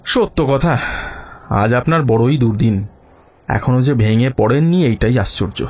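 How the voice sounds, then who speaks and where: An actor playing a self-assured, sceptical male detective in an audio drama, reasoning in a measured, dryly ironic way. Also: medium pitch, animated, a little mellow, slightly rough. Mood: sympathetic. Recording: music behind the voice.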